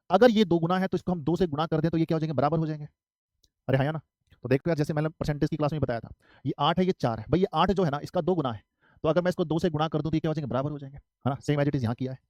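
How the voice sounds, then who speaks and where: Male math teacher from Haryana in his thirties, slightly high, close and dry, drills every step. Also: a little mellow, no echo. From Haryana, India. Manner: repetitive, asks and answers his own questions. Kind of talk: live online exam-prep math class.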